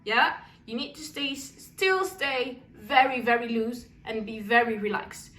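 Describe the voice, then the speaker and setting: A young adult woman teaching a singing lesson in a relaxed, encouraging way, checking in with her students and demonstrating warm-up exercises. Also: fairly high pitch, unhurried. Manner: demonstrates lip trills and sung syllables. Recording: echoey room, voice a little far from the mic.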